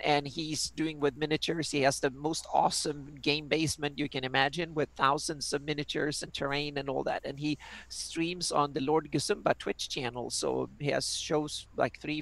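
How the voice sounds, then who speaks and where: A woman's voice: low voice, gravelly, dry recording, low-quality recording. A woman in her fifties from Sweden with a Swedish accent, chatting on a video call as a guest player and repeating words as she restarts. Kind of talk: casual chat on a game stream.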